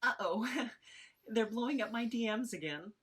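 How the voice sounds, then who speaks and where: A woman playing a ditzy, doting podcaster in a comedy read-through, hesitant, apologetic and cutesy, with a husky voice. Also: a little high, expressive, normal speed, a bit bright. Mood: sheepish, flippant. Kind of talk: informal script read-through of audio comedy.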